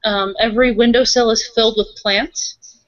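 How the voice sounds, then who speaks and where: A woman's voice: on the high side, fairly even intonation, normal speed, a bit bright, dry recording, muffled recording. A woman playing a tabletop roleplaying game, improvising in casual, conversational speech.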